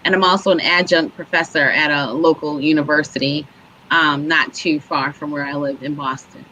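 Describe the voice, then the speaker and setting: A middle-aged woman from Barbados, an education administrator, answering interview questions in a measured, articulate way over a video call. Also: sits low, fairly even intonation, bright, forceful tone. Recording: dull lo-fi call audio.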